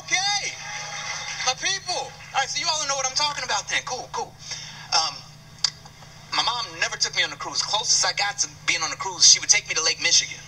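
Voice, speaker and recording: Man, 30s, from Chicago, stand-up comedian, high bright voice, playful, handheld mic, distant. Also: fairly quick. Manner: animated, conversational, playful teasing of the crowd. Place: stage in a large indoor venue. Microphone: handheld microphone. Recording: distant stage mic, faint room noise.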